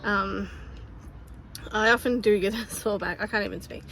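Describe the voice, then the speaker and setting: A woman, chatting casually to her phone camera in a family vlog, her voice a little croaky. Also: mid-range voice, fairly even intonation, normal speed, a bit bright, low-quality recording. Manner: chatty, laughs between sentences. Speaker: family vlogger. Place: outdoors by a busy road. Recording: clear traffic noise outdoors.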